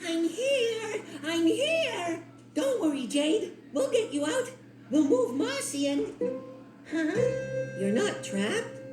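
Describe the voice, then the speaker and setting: A woman's voice: extremely high pitch, big pitch swings, takes it slowly, full character voice. A woman voicing a young, eager, curious and kind-hearted mouse in a children's cartoon. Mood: urgent, surprised. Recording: roomy sound, loud music underneath.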